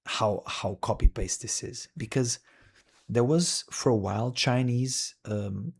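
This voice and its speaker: A man's voice: medium pitch, measured pace, creaky, darkish tone, slightly rough. A male streamer talking casually and wryly while browsing a list, rambling with frequent "dude" and "man".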